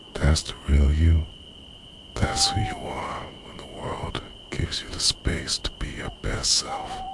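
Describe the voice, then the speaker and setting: A man playing a devoted, obsessive time-travelling boyfriend in an intimate ASMR roleplay, speaking in a breathy, often whispered, gravelly voice with hushed, earnest intensity. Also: very deep voice, heavily acted. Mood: adoring, hushed. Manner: slow, earnest, hushed delivery. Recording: noisy night ambience with crickets, owls.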